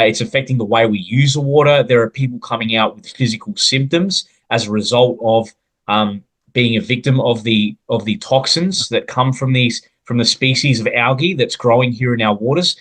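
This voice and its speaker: Podcast host, male, Australian accent, mid-pitched voice, earnest and wordy delivery. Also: normal speed, a bit bright. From South Australia. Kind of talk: podcast interview.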